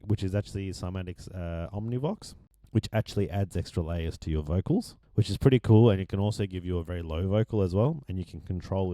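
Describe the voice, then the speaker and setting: A man with a deep voice that is going hoarse, casually walking through his vocal mix with many ums and restarts. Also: mellow, no room sound. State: losing his voice. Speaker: music producer explaining his mix. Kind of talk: casual screen-share tutorial.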